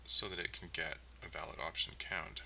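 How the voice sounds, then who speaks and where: Male developer streamer, halting think-aloud delivery, fry-heavy and very crisp-edged tone, keyboard clicks behind. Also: a bass voice, flat delivery, pushed and bright, far from the mic. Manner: halting, muttering to himself. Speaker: game developer livestreaming. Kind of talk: casual thinking-aloud coding commentary. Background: typing and mouse clicking.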